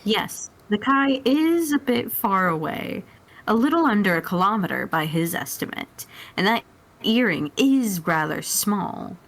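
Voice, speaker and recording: A woman's voice: mid-range voice, lively intonation, unhurried, a bit bright. A woman reading scripted story narration dramatically as part of a group roleplay reading.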